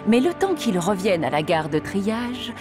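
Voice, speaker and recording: A woman narrating a cartoon story in an expressive, scripted way. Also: mid-range voice, a little slow. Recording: cartoon narration with music behind.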